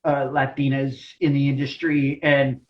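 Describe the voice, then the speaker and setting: A man hosting a casual interview, speaking in a halting way and often repeating his phrases. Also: medium pitch, little pitch movement, lo-fi sound. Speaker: podcast host, interviewer.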